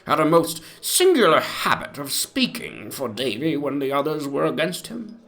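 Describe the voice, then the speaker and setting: Memoir dramatisation: male actor as very old, self-important 18th-century gentleman. Bright, crisp-edged attack, highly animated intonation, archaic mannered diction. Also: full character voice. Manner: mannered, antiquated, pompous diction with drawn-out words. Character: pompous, ancient 18th-century English gentleman memoirist, fond and gossipy. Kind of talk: dramatic reading of a mock memoir.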